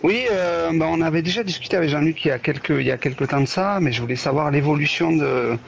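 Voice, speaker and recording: A man's voice: fairly high pitch, quick pace, creaky, slight background noise. A man with a Toulouse accent calling in to a radio show, asking a question hesitantly with many fillers. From Toulouse, France. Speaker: caller. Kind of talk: call-in question. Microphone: telephone. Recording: muffled phone line.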